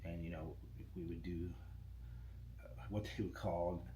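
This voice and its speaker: Low-voiced man telling radio stories. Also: measured pace. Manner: relaxed, rambling, restarts often. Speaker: podcast guest, radio station owner. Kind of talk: casual interview chat. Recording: echoey room with faint ambient noise.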